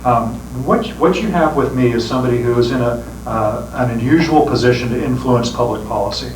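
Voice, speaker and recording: A man's voice: mid-range voice, brisk. A man who is a sheriff running for re-election, giving an earnest campaign speech to a meeting audience and often repeating words as he starts phrases. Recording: echoey room, distant mic, background noise.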